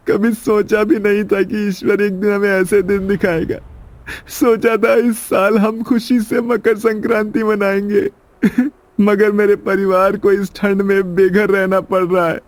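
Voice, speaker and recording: A poor, homeless father in a melodramatic story. He sobs hopelessly, his voice pushed up high with despair. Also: flat delivery, slow, dry recording. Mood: sobbing, hopeless. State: sobbing.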